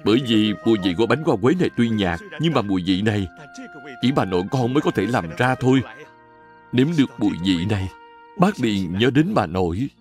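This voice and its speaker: A man playing a gentle, earnest family man in a dubbed children's cartoon. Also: lowish voice, lively intonation, fairly quick, soft background music, close to an everyday voice.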